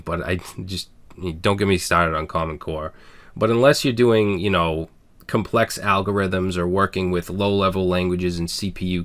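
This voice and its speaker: A man's voice: low voice, fairly bright tone. A man in his thirties with a Boston accent, hosting a programming channel and talking candidly to viewers in a plain, earnest way with frequent fillers. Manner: earnest, plain-spoken, frequent "all right" and "um". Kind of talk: candid personal talk to viewers.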